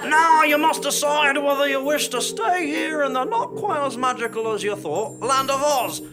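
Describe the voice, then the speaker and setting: A man's voice: very high-pitched, normal speed, over music. A man acting a theatrical, chirpy yet blunt magical guide, proclaiming in a live stage comedy. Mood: breezy.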